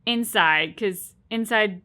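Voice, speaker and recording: A woman's voice: mid-range voice, slow pace, bright, forceful tone. A woman co-hosting a game reaction video, giving her thoughts in casual, conversational commentary.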